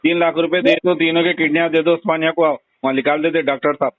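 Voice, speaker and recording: A man with a Hyderabadi accent speaks in a fairly high voice. He is blunt and insistent in a casual, conversational way. The sound is a narrow, dry telephone recording with very little room in it. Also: flat delivery, quick pace, no echo. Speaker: phone call recipient. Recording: tinny narrowband phone call recording.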